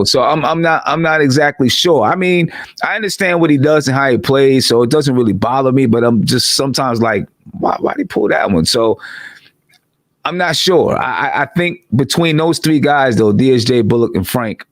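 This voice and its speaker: A man's voice: mid-range voice, no room sound. A man with a mid-range voice talks things through at an easy pace and often restarts his sentences. The sound is dry and close, with no room around it. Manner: measured, thinking aloud, with restarts. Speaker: podcast guest. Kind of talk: casual sports talk.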